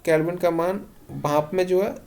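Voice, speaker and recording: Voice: mid-range voice, steady tone, normal speed, a little mellow. Teacher running question-and-answer revision. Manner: instructional, poses each question then states the short answer. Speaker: exam-prep science teacher. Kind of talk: question-and-answer revision lesson read from notes.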